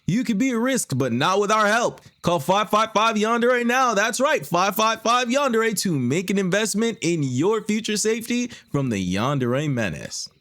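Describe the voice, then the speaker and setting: A smarmy, chirpy TV salesman in a parody ad. His voice sits high and bounces around showily, pushing every line like a bargain. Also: big pitch swings, moderate pace, few pauses, fairly bright tone, dry recording, studio quality. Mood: upbeat salesy. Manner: showy, exaggerated salesman delivery. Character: chirpy, smarmy infomercial pitchman selling yandere protection. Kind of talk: TV shopping ad parody.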